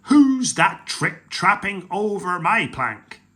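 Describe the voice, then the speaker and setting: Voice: medium pitch, huge pitch swings, slow pace, husky, pitched above the performer's own voice, full character voice. A storyteller voicing a bossy male pirate chief in a children's storybook, who barks out orders. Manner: loud, commanding calls. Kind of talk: storybook read-aloud.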